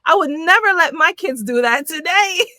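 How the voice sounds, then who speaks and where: A middle-aged woman from Jamaica, a podcast guest telling her life story in an animated way, laughing often. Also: extremely high pitch, normal speed, no echo, clean studio sound. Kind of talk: personal storytelling in an interview.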